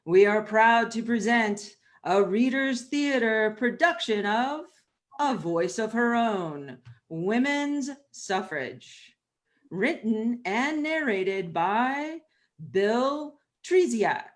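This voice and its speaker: A woman's voice: fairly high pitch, lively intonation, takes it slowly. A woman reading a scripted welcome as the announcer introducing a show.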